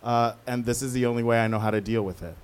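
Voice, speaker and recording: A man's voice: mid-range voice, steady tone, fast talker, a little hiss or hum. A man answering as an interviewed playwright, candid and conversational, thinking aloud with emphatic asides.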